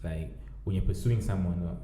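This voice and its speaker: A man with a low voice and a very dark, mellow tone hosts a relaxed podcast chat. He talks in an easygoing way and laughs often. The recording sounds echoey, with a faint room ambience behind him. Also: moderate pace, a little hiss or hum. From Namibia. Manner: laid-back, laughs often, swears casually. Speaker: podcast host. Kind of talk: casual conversation.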